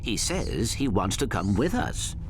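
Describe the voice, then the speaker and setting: Slow-spoken, polite little robot sidekick. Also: male voice, lowish voice, sing-song. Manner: precise, formal, measured. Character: small polite male robot sidekick, earnest and brainy. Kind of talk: video game cutscene acting. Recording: clearly noisy game audio with ambience.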